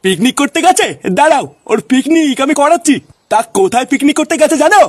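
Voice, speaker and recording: A man's voice: extremely high pitch, sing-song, fast talker, no room sound. A man voicing an angry, scolding middle-aged village father in a comic cartoon, ranting with coarse threats. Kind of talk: comic Bengali cartoon dialogue.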